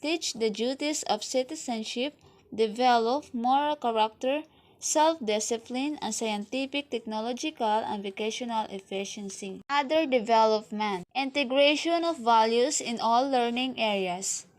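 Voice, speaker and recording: A young woman with a Filipino accent reads through a slide presentation from a webcam at home, working through lists and trailing off quietly at the ends of lines. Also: on the high side, moderate pace. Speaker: student presenter.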